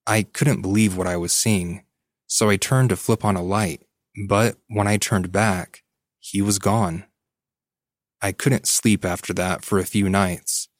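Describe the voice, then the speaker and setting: A man calmly reading a first-person ghost story. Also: fairly low pitch, a little slow, dry recording, clean studio sound. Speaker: story narrator. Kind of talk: scripted narration of a listener's story.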